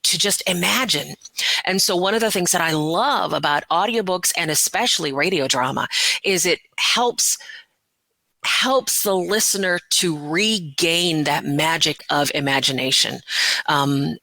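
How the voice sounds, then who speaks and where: A middle-aged woman talking as an interview guest, conversational, passionate, earnest and expressive. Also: lowish voice, measured pace, creaky, no echo, poor audio. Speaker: interview guest, voiceover artist and audiobook narrator.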